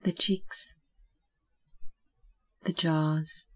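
A meditation guide speaking gently and calmly, giving slow, measured instructions with long pauses. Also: medium pitch.

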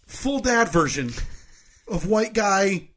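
A man's voice: high-pitched, expressive, slow, fairly bright tone. A man co-hosting a podcast in casual chat, with a teasing, joking manner. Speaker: podcast co-host.

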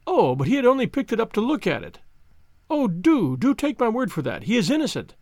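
A man voicing an anxious young gentlewoman who pleads earnestly in polite period English for an audiobook. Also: high-pitched, huge pitch swings, a little fast, dry recording, clean studio sound, voice pushed up, heavily acted. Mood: pleading.

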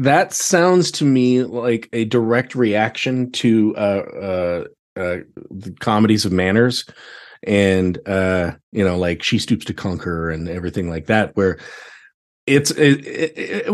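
Male guest, casual and halting, feeling his way through sentences. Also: on the low side, darkish tone. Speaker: podcast guest, theatre director. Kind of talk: casual chat.

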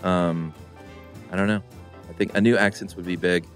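A middle-aged man co-hosting a podcast, reading listener mail in a rambling, relaxed way with lots of fillers. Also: deep voice, moderate pace, faint music behind. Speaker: podcast co-host.

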